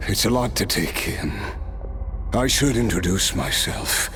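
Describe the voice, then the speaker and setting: An actor playing a weary, solemn ancient noble swordsman spirit in a video game, speaking in a measured, reflective storytelling manner. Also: lowish voice, lively intonation, fairly bright tone, a little hiss or hum. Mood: calm, reflective.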